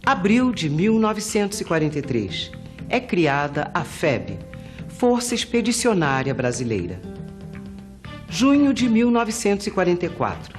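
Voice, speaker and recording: High-pitched, animated Brazilian male narrator. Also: normal speed, soft background music. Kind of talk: dated newsreel-like scripted narration.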